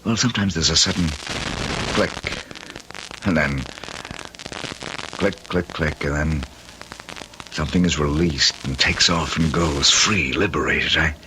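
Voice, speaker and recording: An actor playing an eccentric, self-assured husband in an old-time radio drama, intense and condescending, with halting, rhapsodic delivery. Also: male voice, rumbling low, background noise. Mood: defensive, earnest.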